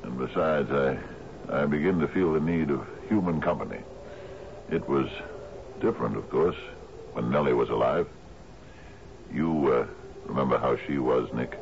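Old sea captain, deep gravelly voice, gruff and wistful, halting, radio drama, muffled noisy broadcast. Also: male voice. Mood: wistful, lonely. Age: older. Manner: gruff, halting, swings from muttering to shouting. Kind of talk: 1970s radio drama acting.

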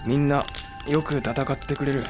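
A man's voice: medium pitch, fairly even intonation, moderate pace, over music, natural voice. An actor playing a blunt, wry samurai commander who protects his men, in a period audio drama with terse, commanding delivery. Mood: quiet, blunt. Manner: terse, commanding, gruff phrasing.

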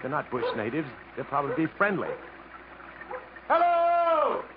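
An actor playing a determined, protective adventurer in an earnest, urgent, dramatic 1950s radio style. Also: male voice, on the high side, wildly swooping pitch, unhurried, a crowd in the background. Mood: urgent, hopeful. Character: determined, protective English adventurer stranded in the jungle. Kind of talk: 1950s American radio drama acting, with first-person narration. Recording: muffled, noisy 1950s radio broadcast.

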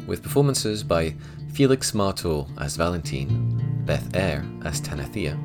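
A man's voice: deep voice, sing-song, measured pace. A man reading scripted podcast credits as an announcer. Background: loud plucked guitar music.

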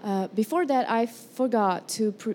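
A mellow, warm-toned woman raised in Morocco leads a workshop class in a gentle, unhurried way. She pauses and restarts as she searches for the right words, and a faint hiss sits under her voice. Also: fairly high pitch. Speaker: herbalism teacher. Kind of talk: guided breathing and spiritual workshop talk.

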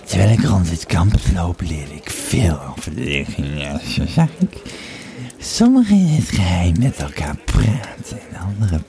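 Man playing a creaky low-voiced old legionary. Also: very animated, dark tone, heavily acted. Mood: grim reminiscing. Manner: rambling, halting, dramatic. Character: old tipsy Roman legionary retelling his war days. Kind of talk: in-character storytelling in an audio play.

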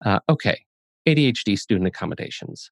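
A man hosting a podcast in a casual, chatty way, expressive and playfully dramatic in his emphasis. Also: lowish voice, fast, vocal fry, no echo, studio quality. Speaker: podcast host.

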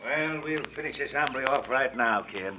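An actor playing a ruthless, sneering outlaw leader who bullies in slangy talk in an old radio western. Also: male voice, medium pitch, moderate pace, noisy background, lightly acted. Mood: grim, scheming. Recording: dull, band-limited old radio recording.

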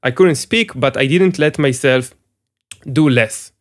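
A man's voice: medium pitch, a little slow. A man hosting a solo podcast, speaking earnestly through throat pain, with frequent restarts, repeated words and questions to the listener. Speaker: podcast host.

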